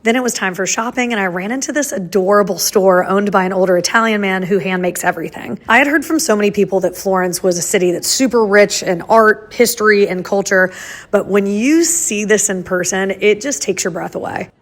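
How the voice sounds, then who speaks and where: Female travel vlogger, American accent, medium pitch, enthusiastic and casual voice-over narration. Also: fairly quick. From United States. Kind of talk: upbeat scripted vlog voice-over narration.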